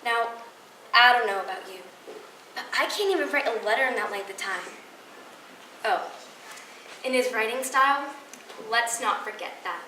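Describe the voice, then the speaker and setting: A woman's voice: on the high side, moderate pace, long pauses, bright, forceful tone. A woman playing a sarcastic, indignant actress venting her wounded pride in a stage monologue. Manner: sarcastic, aggrieved. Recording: roomy distant microphone with clear noise.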